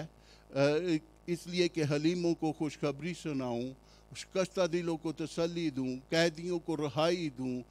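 A man with a Pakistani Urdu accent preaching from the pulpit, his voice sitting somewhat high. He takes his time, leaning on rhetorical questions and pausing often to hesitate before pressing each point. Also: darkish tone. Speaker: pastor. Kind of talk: sermon.

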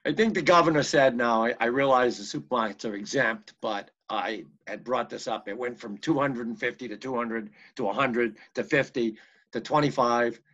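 Male, American, average pitch, rambling meeting chair on a dull, muffled video-call line. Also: moderate pace, a bit bright. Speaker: board of health meeting chair. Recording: muffled, band-limited video-call audio.

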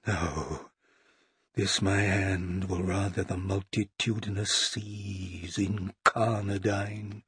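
An actor playing a haunted, guilt-stricken nobleman in a theatrical Shakespearean drama, husky, breathy, tense and halting. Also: low-pitched, little pitch movement, a bit bright, exaggerated voice. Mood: anguished, grave.

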